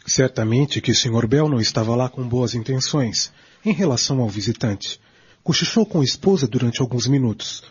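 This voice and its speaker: A man's voice: mid-range voice, moderate pace, husky. A man reading scripted dramatic narration for a radio drama. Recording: dull recording, faint music behind.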